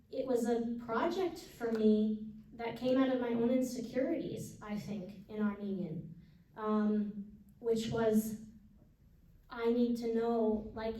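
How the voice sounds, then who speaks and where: A woman translator at a book event, answering an audience question in a halting, thoughtful way with many restarts. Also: fairly high pitch, dark tone, a little hiss or hum. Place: event hall. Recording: echoey hall, distant mic, faint murmur.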